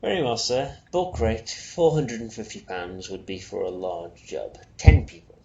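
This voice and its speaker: A man reading a storybook puts on an obliging salesman with a creaky voice. He is deferential, formal and quick to apologise, speaking in a roomy, echoing space. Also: lowish voice, sing-song, dark tone, dull audio, full character voice. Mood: coaxing. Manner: polite, formal, fussily apologetic. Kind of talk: storybook character voice. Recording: roomy echo, faint noise.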